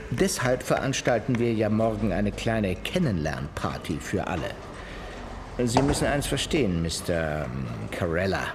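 Radio drama: condescending, exasperated showbiz man, lowish and very animated, noisy backdrop. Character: irritable, condescending male show-business man.

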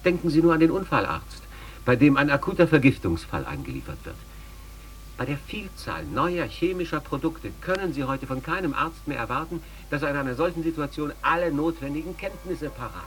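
A man's voice: on the high side, very animated, fairly quick, fairly bright tone, rough recording. A man giving a formal expert lecture with carefully built sentences.

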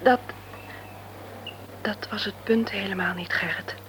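An actress playing a candid woman visiting her aged father in a radio play, with a soft, confiding way of talking. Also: mid-range voice, fairly even intonation, a little slow, a little hiss or hum, natural voice.

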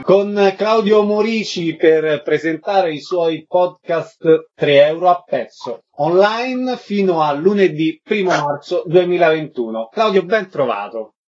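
Higher-pitched man hosting radio, dull audio. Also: expressive, a little slow, few pauses, a little mellow, soft background music. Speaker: radio host. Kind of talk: live radio interview.

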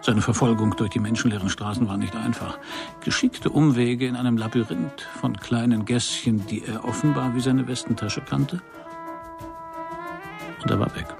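An actor playing a wry, self-assured Parisian private detective in a radio crime drama, speaking dryly in terse, laconic sentences. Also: male voice, medium pitch, creaky. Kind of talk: first-person radio crime drama narration and dialogue.

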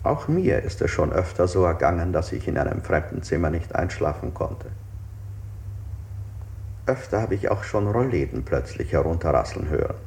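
An actor playing a calm, sinister questioner in a 1960s radio play, speaking in a measured, formal, insinuating way. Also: male voice, low voice, gravelly, exaggerated voice. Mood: insinuating sympathy. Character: calm, sinister male questioner feigning sympathy with the interrogated.

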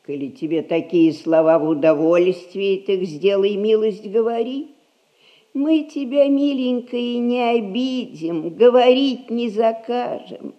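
An actress playing a stern, rich old grandmother who rules a merchant household, speaking in measured, folksy old-fashioned phrases in a stage comedy. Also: fairly high pitch, muffled recording. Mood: coolly stubborn. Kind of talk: Russian stage comedy recorded as radio play.

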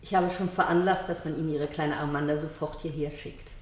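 A woman's voice: deep voice, steady tone, a little fast, close to an everyday voice. Woman acting a genteel nun, echoey room. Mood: politely chatty. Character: chatty, polite, proper convent nun. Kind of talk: German radio drama acting. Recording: echoey roomy radio play sound.

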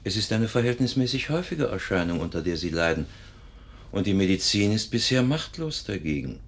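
A sober doctor in a vintage radio play. His voice is low and swings widely in pitch, while his delivery stays clinical and deliberate. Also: sing-song, gravelly. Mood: sober, resigned. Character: male doctor, sober, measured, resigned. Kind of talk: 1950s German radio drama acting. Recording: vintage 1950s radio recording.

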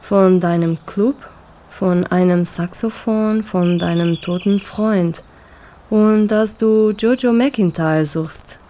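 Actress as slow, mellow female jazz singer. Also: medium pitch, lightly acted. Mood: calm, matter-of-fact. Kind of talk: naturalistic radio drama acting.

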